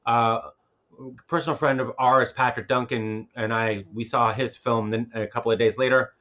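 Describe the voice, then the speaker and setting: A man's voice: mid-range voice, steady tone, moderate pace, a bit bright, highs cut off. A man speaking casually as a filmmaker and festival organizer on a panel, rambling and often repeating words as he goes.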